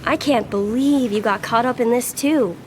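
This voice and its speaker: An actress playing a wry, caring restaurant worker who speaks earnestly and reproachfully in an audio drama. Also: female voice, normal speed, natural voice. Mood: soothing, lecturing. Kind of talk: scripted audio drama acting. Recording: rumbling background noise.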